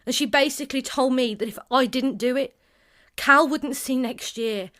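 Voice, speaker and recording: Actress as a highish-voiced, troubled young fighter. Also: female voice, moderate pace, fairly bright tone. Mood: bitter. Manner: hesitant, sweary, sardonic. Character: troubled, blunt young female fighter, newly pregnant. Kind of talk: naturalistic audio drama acting.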